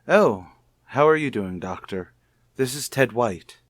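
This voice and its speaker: A man's voice: mid-range voice, expressive, unhurried, dry recording, clean studio sound. A man playing a suspicious husband in an old-time radio soap, speaking with formal, pointed politeness. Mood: polite. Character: suspicious, coolly courteous husband, probing his wife.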